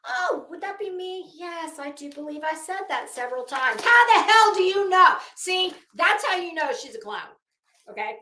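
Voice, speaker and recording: High-pitched, theatrical woman streamer. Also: big pitch swings, moderate pace, bright, forceful tone. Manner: theatrical, sarcastic. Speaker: livestream host. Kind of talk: dramatic reading with off-the-cuff commentary. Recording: echoey, roomy sound.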